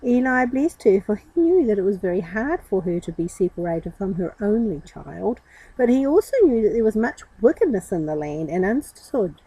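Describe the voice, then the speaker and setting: A woman's voice: medium pitch, big pitch swings, moderate pace, warm tone, slightly rough, rough recording. A woman storyteller reading a children's story expressively, with informal asides.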